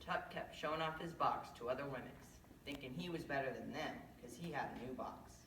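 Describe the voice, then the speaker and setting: Teen girl, deep for a female, scripted narration, roomy distant recording. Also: fairly quick, a bit bright. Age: young teenager. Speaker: readers theater narrator. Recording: echoey room, distant mic, faint noise.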